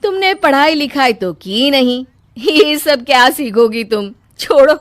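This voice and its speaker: A woman voicing a haughty, educated young sister-in-law in a cartoon story, with a sharp, condescending scolding manner. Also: high voice, expressive, a little slow, voice pushed up. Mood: mocking.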